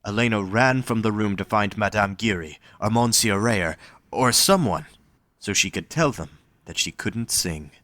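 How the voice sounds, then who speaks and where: Male narrator for scripted fiction. Low-pitched, dry recording. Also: moderate pace, sharp tone, no room sound.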